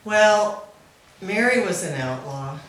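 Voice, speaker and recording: A woman's voice: mid-range voice, animated, takes it slowly. A woman speaking at a memorial gathering, telling an informal anecdote in an amused way. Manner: amused, often laughing as she talks. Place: back room at a live gathering. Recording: very echoey room, faint noise.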